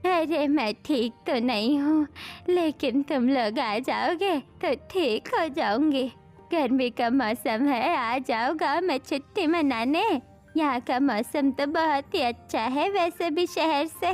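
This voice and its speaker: A woman playing an affectionate, insistent elderly village grandmother in an animated family story, coaxing and bossy. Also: high-pitched, takes it slowly, soft background music.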